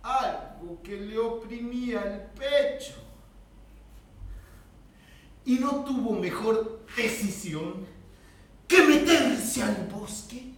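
A man's voice: sits high, a little hiss or hum. A man playing a humble, pleading farmhand and father in a theatrical monologue, with a Latin American Spanish accent. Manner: emotive, drawn-out delivery with repeated pleas. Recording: very echoey room, distant microphone.

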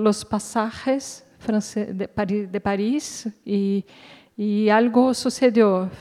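A woman, a native Portuguese speaker, talking unscripted as a guest author, haltingly searching for words. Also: medium pitch, dark tone. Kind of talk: unscripted talk at a literary event. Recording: echoey hall reverb.

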